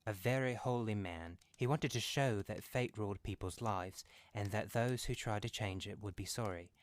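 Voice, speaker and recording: A man's voice: fairly low pitch, moderate pace, close to an everyday voice. A man voicing a serious, reticent middle-aged soldier in a storybook reading. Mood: grave. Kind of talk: storybook character voice.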